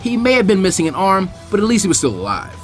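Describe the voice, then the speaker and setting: A young man reading fan fiction aloud with expressive, animated narration. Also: high voice, quick pace, faint music behind. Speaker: fanfiction reader.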